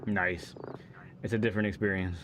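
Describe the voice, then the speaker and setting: A man hosting a live racing game stream, coaching a guest with calm, encouraging feedback. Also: sits low, moderate pace, noisy background. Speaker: host and driving coach.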